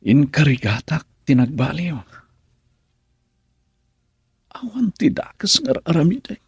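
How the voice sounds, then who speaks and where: An actor playing a troubled, lovelorn young husband in a radio drama, speaking in a raspy, halting, emotional way. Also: male voice, fairly high pitch, very animated, no room sound, clean studio sound, heavily acted. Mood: troubled, hesitant.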